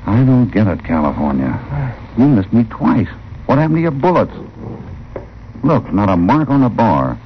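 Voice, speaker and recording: A shrewd, commanding cowboy hero in a vintage radio western. His American voice is low, brisk and sure of itself. The recording is muffled with faint noise. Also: sing-song. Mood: methodical. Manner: brisk, authoritative, confident. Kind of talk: old-time radio western acting.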